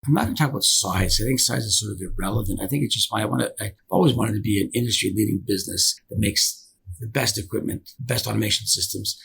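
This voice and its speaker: Aussie guy, really creaky voice, talks fast, earnest podcast guest, bit of background noise. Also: on the low side, fairly bright tone, rough recording. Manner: earnest, repeats himself for emphasis. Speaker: business founder and director, podcast guest. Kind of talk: conversational interview answers.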